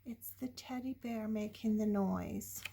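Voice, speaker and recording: A woman's voice: medium pitch, measured pace, creaky, slightly rough. An older woman doing a playful, dramatic read-aloud of a picture book, putting questions to young listeners. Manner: dramatic, shifting between hushed whispers and loud exclamations. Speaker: children's story reader.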